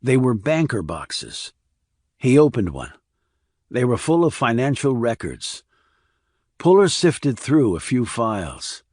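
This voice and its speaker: A man's voice: medium pitch, very animated, slow pace, a little mellow, no echo, studio quality. A man narrating a crime thriller audiobook as a scripted reading.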